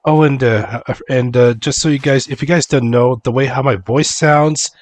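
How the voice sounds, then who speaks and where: Hoarse man narrating his gameplay. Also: mid-range voice, fairly even intonation, normal speed. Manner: repeats words, talks himself through tasks. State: hoarse from a cold. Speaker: streamer, game commentator. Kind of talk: casual gaming commentary.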